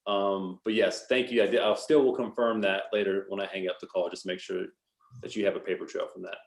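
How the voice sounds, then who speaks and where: A man's voice: sits low, level tone, fairly quick, creaky. A man presenting at a virtual meeting, agreeable and deferential, in informal business speech. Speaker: project presenter. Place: virtual meeting call, echoey room. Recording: echoey room on call.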